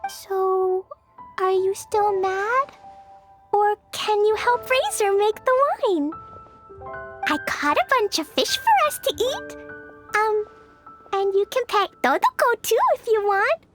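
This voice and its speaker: A woman's voice: very high-pitched, sing-song, slow, a bit bright, soft background music, exaggerated voice. A woman voicing a sweet, earnest little girl with a childlike manner in a video game. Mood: apologetic. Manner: childlike, refers to self in third person.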